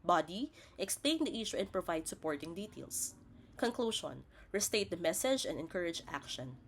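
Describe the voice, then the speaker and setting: A woman teacher narrates scripted instructional material. Her voice sits a little low for a woman and moves with very animated intonation. She sets out each point in a deliberate, didactic manner. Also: slight background noise. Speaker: teacher presenting a lesson.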